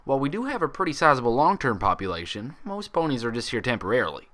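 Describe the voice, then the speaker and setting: A man's voice: medium pitch, sing-song, fast, fairly bright tone, pitched above the performer's own voice. A man voicing a frank, proud, friendly female pony doctor with a folksy way of talking, in a story reading.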